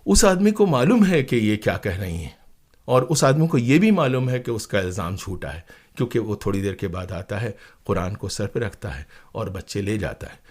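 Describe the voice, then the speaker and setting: A man's voice: fairly low pitch, animated, normal speed, darkish tone. A man speaking as an interview guest, telling stories conversationally in an emphatic, dramatic way.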